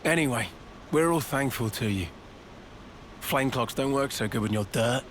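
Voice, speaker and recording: An actor playing a friendly, plain-spoken soldier in a video game cutscene, talking in a casual, colloquial way. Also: male voice, mid-range voice, expressive, normal speed, a little hiss or hum, lightly acted. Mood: easygoing, grateful.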